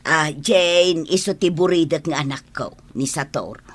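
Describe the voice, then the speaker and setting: A woman's voice: deep voice, a little slow. A woman with an Ilocano accent playing a doting, cheerful elderly mother and matchmaker in a radio drama. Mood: fond.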